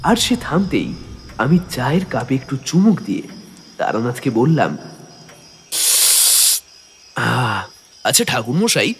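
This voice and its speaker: A man's voice: a little high, slight background noise, heavily acted. A man playing a curious listener who narrates a horror audio story and questions a mystic in a dramatic way. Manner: animated, dramatic delivery. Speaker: framing narrator. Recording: long reverb with faint music.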